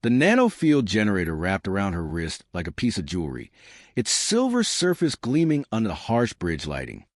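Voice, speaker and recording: A man reading a scripted science fiction adventure story in a dramatic, measured storytelling manner. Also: lowish voice, dry recording, studio quality. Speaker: audiobook-style story narrator. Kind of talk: scripted narration of a sci-fi adventure.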